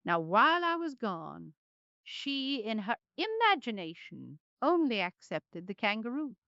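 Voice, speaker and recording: Lively, highish grandmother played by a woman. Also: measured pace, gravelly, no room sound, muffled recording, heavily acted. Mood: fond, recounting. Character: fond grandmother writing about her travels and granddaughter. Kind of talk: dramatized letter reading.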